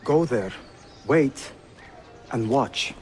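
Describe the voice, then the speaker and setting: A man's voice: medium pitch, lively intonation, very slow, darkish tone, faint music behind. An actor playing a courteous, self-assured young Ottoman prince in a video game. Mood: measured. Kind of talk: video game cutscene acting.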